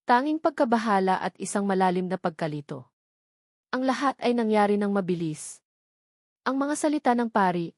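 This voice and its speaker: A woman delivers dramatic first-person story narration. Her phrasing is deliberate and emotive, at a middling pitch. The recording is very dry and close, with no room around the voice. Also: a little mellow, dry recording.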